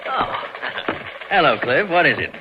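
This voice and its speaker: An actor playing an affable, unhurried bank official in a 1940s radio drama. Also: male voice, a little high, big pitch swings. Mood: genial, distracted. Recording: noisy, dull vintage 1940s radio recording.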